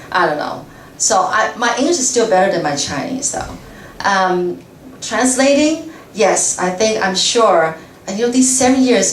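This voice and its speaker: A woman speaking as a guest to a class, chatty and casual, laughing often and restarting her sentences. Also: mid-range voice. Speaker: guest speaker, radio journalist. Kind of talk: talk answering audience questions. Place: lecture room. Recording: echoey room from far off, faint noise.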